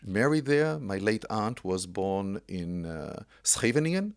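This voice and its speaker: A man in his sixties from Israel, a podcast guest telling his family history in an unhurried way with many pauses. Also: lowish voice, very animated. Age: 60s. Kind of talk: casual interview chat.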